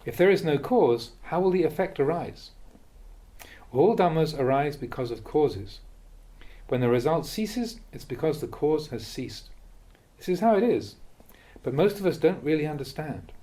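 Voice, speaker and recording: Mellow, expressive man reading a dharma talk. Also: a little high. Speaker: Buddhist monk reading teachings aloud. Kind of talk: read-aloud dharma talk.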